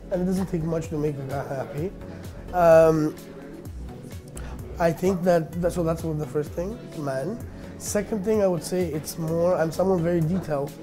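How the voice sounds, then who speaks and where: A man in his thirties, born in Russia and raised in Canada, answering interview questions in a casual, hesitant way with many fillers and restarts. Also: on the high side. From Armenian, born in Russia, raised in Canada. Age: 30s. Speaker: business owner.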